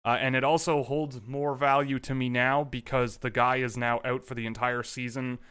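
Young male stream host, casual and rambling, many fillers, smooth voice, dry close mic. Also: mid-range voice, flat delivery, normal speed, a bit bright, dry recording. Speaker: hockey prospect livestream host. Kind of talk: casual livestream chat.

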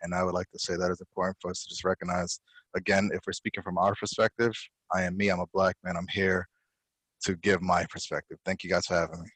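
A man introducing himself earnestly and in a measured way as a podcast guest. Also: low-pitched, flat delivery, a bit bright, husky, dry recording, rough recording. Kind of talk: casual introduction.

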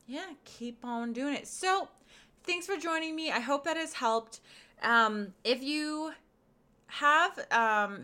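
A woman's voice: mid-range voice, bright, forceful tone, clean tone. A woman hosting a casual podcast monologue, speaking frankly with many fillers while she is losing her voice. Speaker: podcast host and pleasure coach.

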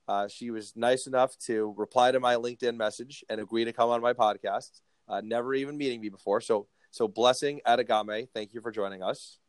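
A man's voice: mid-range voice, a little mellow, dry recording. A man hosting a podcast interview, talking casually and often restarting and repeating words. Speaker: podcast host.

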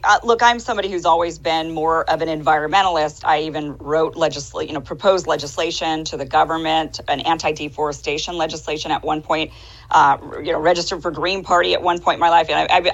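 A woman's voice: deep voice, fairly even intonation, quick pace. A woman in her forties speaking emphatically and rhetorically as a panelist in a political discussion. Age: 40s. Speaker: panelist on a political talk show. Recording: slightly dull, band-limited audio.

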